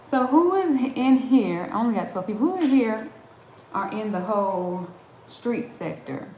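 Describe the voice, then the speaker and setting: A woman's voice: a little high, lively intonation, measured pace, a little mellow, a little hiss or hum. A middle-aged woman hosting a casual livestream, chatting and answering viewer comments, laughing often and restarting her sentences. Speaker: livestream host. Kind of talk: casual live chat reading viewer comments. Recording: roomy echo with faint background ambience.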